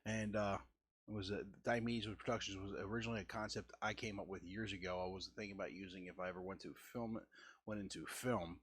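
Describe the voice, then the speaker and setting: A man in his forties hosting a casual art show, talking in a halting way with frequent stammers and restarts. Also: fairly even intonation, a bit bright, breathy edge. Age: 40s. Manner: halting, restarts and stammers, deliberate lowered delivery. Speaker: host. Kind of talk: casual art-stream chat.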